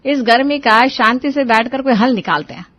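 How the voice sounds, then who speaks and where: Woman doing older mom-in-law in a cartoon, keeps the peace, high voice, dry sound. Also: moderate pace, a bit bright, no room sound. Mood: soothing. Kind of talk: cartoon family drama acting.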